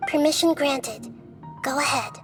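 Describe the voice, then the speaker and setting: A woman voicing a calm, kind young girl goddess in a video game, speaking softly and reassuringly. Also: high voice, measured pace, soft background music.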